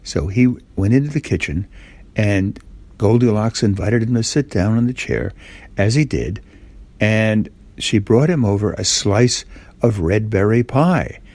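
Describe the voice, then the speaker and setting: An older man with a fairly low voice tells a children's bedtime story in simple, repetitive phrases. He speaks informally, as if to a small listener. The sound is dry, with little room in it. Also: animated, unhurried, a little mellow, no echo.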